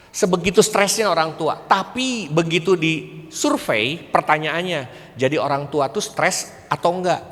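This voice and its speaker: Male seminar speaker, West Javanese Indonesian accent, fairly high voice, conversational and didactic, heard in a reverberant hall. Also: a bit bright. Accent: Indonesian with West Javanese (Sundanese) colouring. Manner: conversational, didactic, checking in with listeners. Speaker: speaker at a church parenting seminar, school principal. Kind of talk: public seminar talk with preaching. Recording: echoey hall with long reverb.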